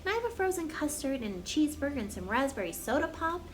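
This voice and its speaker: Woman doing an eager, high-voiced young boy. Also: quick pace. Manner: excited, pleading. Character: eager, greedy young farm boy begging for treats.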